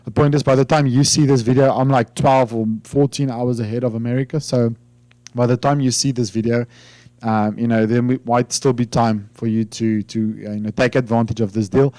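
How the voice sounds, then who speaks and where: A man's voice: mid-range voice, steady tone, a little fast, warm tone, dry recording. A man from South Africa with a South African accent, Afrikaans his first language, chatting informally to his channel about finance with lots of fillers and restarts. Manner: rambling, hesitant, many fillers and restarts. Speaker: finance YouTuber. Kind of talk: informal talk to camera.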